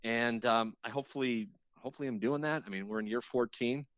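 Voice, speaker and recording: A man with a mid-pitched voice speaks as a guest on a casual show. He rambles through anecdotes in halting bursts, slipping into quoted reactions, with no room sound around him. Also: fairly bright tone, dry recording, muffled recording. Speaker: podcast guest, radio host. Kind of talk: casual reminiscing chat.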